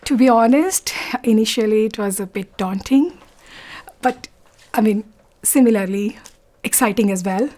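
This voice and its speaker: A woman in her forties from India with an Indian accent, telling her story in an interview, softly and haltingly, often pausing to search for words. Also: mid-range voice. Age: 40s. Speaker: interviewee, doctor.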